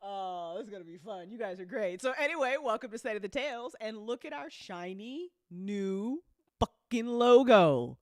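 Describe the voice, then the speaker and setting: A woman hosting a casual livestream, chatting informally with viewers in a playful, teasing, sweary and animated way. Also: mid-range voice, moderate pace, no echo, clean studio sound. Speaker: host of an audio drama network's livestream.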